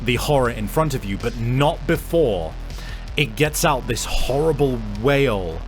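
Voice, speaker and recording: A man with a mid-pitched voice narrates a tabletop roleplaying game as its game master. He tells it casually and excitably, swearing freely, with music playing behind him. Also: a little slow. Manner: casual, profane, excitable storytelling. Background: background music.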